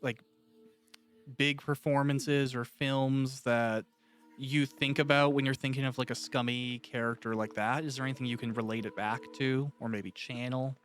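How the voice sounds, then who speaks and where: A man's voice: mid-range voice, fairly even intonation, normal speed, fairly bright tone. A man hosting a casual, conversational interview as the interviewer. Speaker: interviewer, director.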